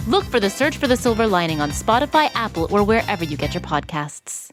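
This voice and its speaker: A woman's voice: medium pitch, big pitch swings, brisk. A woman announcer reading a scripted, upbeat podcast promo. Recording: music under the voice. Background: music.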